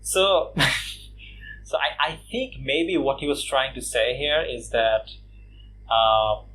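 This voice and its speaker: A man from Bangladesh giving casual response commentary on a video, mocking his opponent with scoffing laughs and lots of "uh" and "you know" pauses. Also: mid-range voice, pushed and bright, a little hiss or hum, poor audio. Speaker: YouTube commentator.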